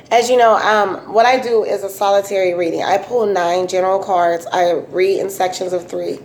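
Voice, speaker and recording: A woman with a raspy voice giving a casual, chatty tarot reading, full of fillers and restarts. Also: medium pitch, fairly even intonation, normal speed, darkish tone, slight background noise. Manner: chatty, repetitive, many um fillers. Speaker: tarot reader.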